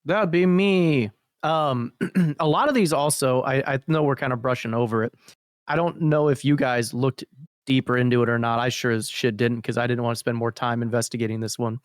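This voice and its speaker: A man's voice: medium pitch, fairly even intonation, normal speed, dry recording, studio quality. A man co-hosting a podcast, chatting about horror videos in a blunt, jokey, sweary style. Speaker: podcast co-host. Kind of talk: casual joking chat about horror videos.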